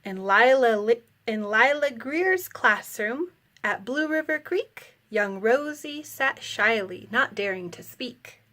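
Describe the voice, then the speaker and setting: A woman reading a rhyming picture book aloud to children in a dramatic, deliberate storytelling manner. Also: on the high side, lively intonation, pushed and bright.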